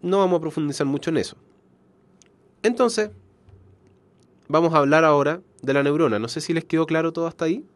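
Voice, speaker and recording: A man's voice: fairly high pitch, brisk, pauses often, no room sound. A man with a congested, nasal voice from a cold, giving an explanatory biology lecture online, often correcting himself and checking in. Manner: explanatory, frequent self-corrections and check-in tags. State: has a cold. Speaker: biology teacher.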